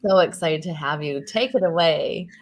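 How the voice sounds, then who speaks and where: A woman's voice: low-pitched, moderate pace. A woman hosting an event, enthusiastically introducing the next speaker and reading out her bio. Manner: warmly enthusiastic, reads the introduction aloud.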